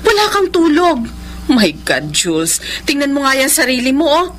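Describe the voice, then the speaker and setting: A woman's voice: sits high, very animated, moderate pace. A woman with a Filipino accent playing a fretful, doting middle-aged mother in a radio drama. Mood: worried, fussing.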